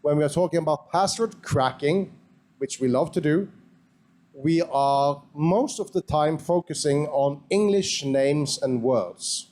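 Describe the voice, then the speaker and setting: A man with a Norwegian accent, speaking in a measured way with pauses as he introduces speakers at a conference. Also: a little high, a little mellow. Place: conference hall.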